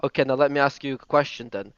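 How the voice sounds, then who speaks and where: A man's voice: medium pitch, quick pace, fairly bright tone, clean tone, no echo. Insistent man, polite but firm. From Russia. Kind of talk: online debate. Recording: dull voice chat audio.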